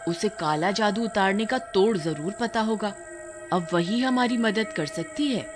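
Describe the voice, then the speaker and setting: A woman's voice: medium pitch, a little slow, over music, lightly acted. A woman voicing a frightened but resourceful village wife in a horror-comedy story, urgent and explanatory. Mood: earnest.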